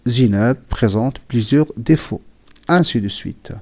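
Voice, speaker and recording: A man explains a writing lesson at a very unhurried pace, pausing between short phrases. He has a cold and clears his throat now and then. His voice sits at an ordinary middle pitch. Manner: repeats and defines words, pauses often. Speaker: French writing teacher. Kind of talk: slow classroom explanation.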